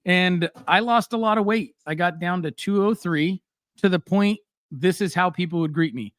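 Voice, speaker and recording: A middle-aged man hosting a casual live-stream chat, telling blunt, animated stories full of fillers. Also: high-pitched, normal speed, no room sound. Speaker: host.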